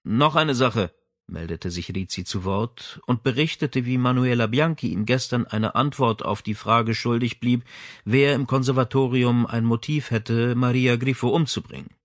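A man's voice: medium pitch, normal speed, no room sound, clean studio sound. A man reading a crime novel aloud in a scripted narration style. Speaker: audiobook narrator.

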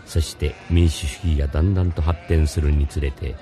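A man's voice: a bass voice, steady tone, fairly quick, music in the background. A man playing a mysterious, courteous philosophy teacher, narrating in a scripted radio drama. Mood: solemn, explanatory.

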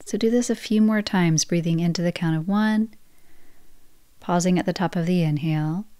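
A woman guiding a yoga nidra relaxation practice, speaking in slow, soft, soothing phrases. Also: fairly low pitch, clear, resonant tone, no room sound. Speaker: meditation guide.

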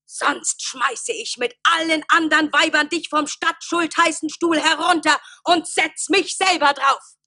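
Angry bossy village wife in a radio play, slow declaiming, really high pitched, reproachful. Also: female voice, steady tone, pushed and bright, no room sound, studio quality, exaggerated voice. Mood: threatening. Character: angry scolding village wife, commanding and indignant. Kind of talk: stage-like radio play acting.

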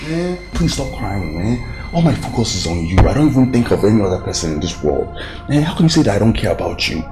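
A wheedling, domineering Nigerian husband in a screen melodrama. His pitch swings widely as he repeats himself insistently, with loud music playing behind the dialogue. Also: sing-song, fast. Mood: coaxing. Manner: repeats questions and phrases insistently. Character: philandering husband pleading with and scolding women, wheedling, domineering. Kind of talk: melodramatic screen drama acting.

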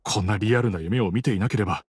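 A man's voice: on the low side, quick pace, a little mellow, breathy edge, dry recording. A man playing a grieving widower in an anime game, speaking politely, earnestly and with hesitation. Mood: regretful. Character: grieving adult widower, polite, troubled villager. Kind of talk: Japanese anime game voice acting.

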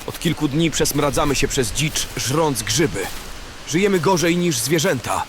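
A man's voice: a little high, lively intonation, moderate pace, lightly acted. A man playing a brash, callous and coarse bandit in a fantasy audio drama. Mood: irritated. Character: brash, callous, coarse male bandit who loves food. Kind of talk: fantasy radio drama acting. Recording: clearly noisy background ambience.

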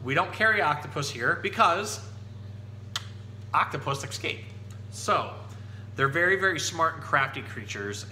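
A man in his thirties presenting to children as an enthusiastic, explanatory storytime host. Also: medium pitch. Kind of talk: casual educational talk for children, picture-book reading. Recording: roomy echo, steady background hum.

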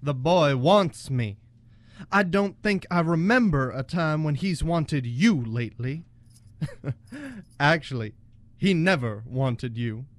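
Man playing a bullying, slow-drawling toy cowboy. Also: fairly high pitch, animated. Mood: menacing. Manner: taunting, folksy cowboy talk. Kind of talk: student radio play acting. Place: college radio studio, live broadcast.

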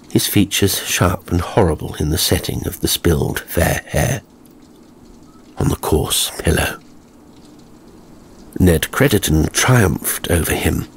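Male narrator, low-pitched, husky, measured, theatrical, literary horror storytelling.

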